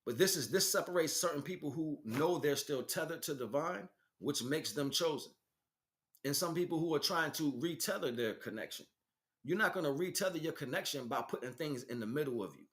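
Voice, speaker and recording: A man's voice: on the high side, lively intonation, brisk, fairly bright tone. A man giving an unscripted spiritual teaching as a livestream host, speaking emphatically and repeating phrases for stress. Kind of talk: unscripted spiritual monologue, preaching.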